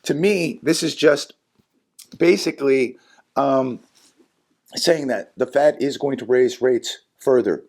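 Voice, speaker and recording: A man with a hoarse, raspy voice from a sinus infection, hosting a solo commentary vlog in an earnest, cautionary way with many fillers and repeated words. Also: mid-range voice, takes it slowly, pauses often, a little mellow. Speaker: channel host and economy commentator.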